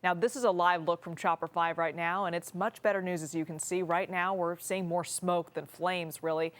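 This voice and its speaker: A woman news anchor reading stories and handing off to reporters, in a crisp anchor delivery. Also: lowish voice, fairly even intonation, moderate pace.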